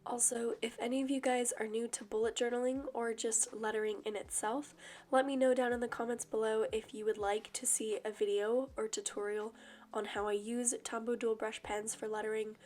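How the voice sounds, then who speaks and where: A young woman with a hoarse, croaky voice from illness, calmly explaining each step of her drawing in a voice-over. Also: on the high side, brisk. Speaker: bullet journal vlogger. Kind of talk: voice-over narration of a drawing tutorial.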